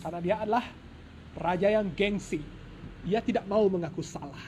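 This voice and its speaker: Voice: mid-range voice, moderate pace, warm tone. A storyteller tells a folk tale informally and dramatically, with playful joking asides and self-corrections. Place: at home. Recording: noisy home recording with ambient sound.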